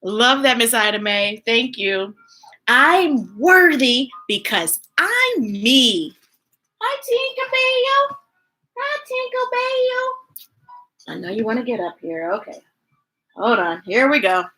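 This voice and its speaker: Very high, animated woman reading to children. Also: slow pace. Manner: animated, gushing, playful. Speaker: story time host reading picture books aloud. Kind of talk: expressive children's read-aloud with chatty asides to viewers.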